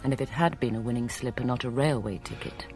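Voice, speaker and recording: An actress playing a middle-aged British detective's wife in a radio drama, affectionate, wry and protectively firm. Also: female voice, extremely low pitch, quick pace, a little mellow, faint music behind. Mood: soft, attentive.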